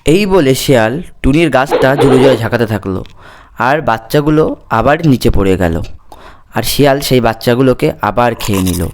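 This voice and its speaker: A man's voice: medium pitch, a little fast, background noise. A man narrating a scripted cartoon fairy tale as the storyteller.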